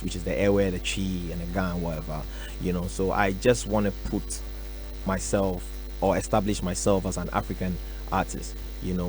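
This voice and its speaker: A young man from Ghana, a musician answering radio interview questions in a relaxed, soft-spoken way with many fillers. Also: sits low, poor audio. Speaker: musician guest. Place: radio studio.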